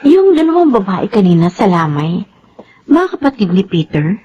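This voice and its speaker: A woman's voice: mid-range voice, sing-song, normal speed, warm tone, husky, highs cut off. An actress playing a concerned woman with a Filipino accent in a family radio drama. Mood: sympathetic.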